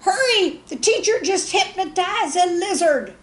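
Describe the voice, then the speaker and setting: Storybook schoolchild, played by a woman: eager, loud, exclamatory, pitched up with lively swings. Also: unhurried, reverberant. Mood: excited shouting. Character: excitable young schoolchild calling out to friends. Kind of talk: children's storybook character voice.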